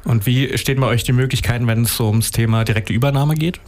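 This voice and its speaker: A man hosts a radio interview, his voice sitting in a middle register. He keeps things moving with brisk, friendly questions for his guests. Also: mid-range voice, a little mellow. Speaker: radio host.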